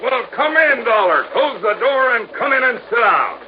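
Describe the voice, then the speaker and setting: An American actor playing a folksy, talkative male insurance agent with a rough, husky voice in an old radio drama. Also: very high-pitched, big pitch swings, normal speed, slight background noise. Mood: jovial, teasing. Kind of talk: 1950s radio drama acting. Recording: muffled vintage radio recording.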